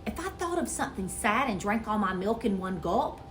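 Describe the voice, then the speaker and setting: A woman reading a children's story aloud in an expressive, theatrical storytelling manner. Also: medium pitch, a little fast, slight background noise. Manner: expressive, theatrical with character voices. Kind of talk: animated dramatic read-aloud.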